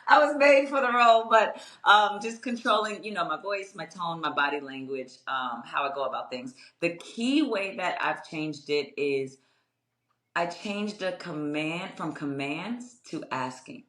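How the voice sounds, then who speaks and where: A young adult woman with a strong voice, answering interview questions in a measured, reflective way with many fillers. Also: lively intonation, a bit bright. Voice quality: strong, low-ish voice. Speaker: guest, construction company owner. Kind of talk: interview conversation. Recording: echoey room.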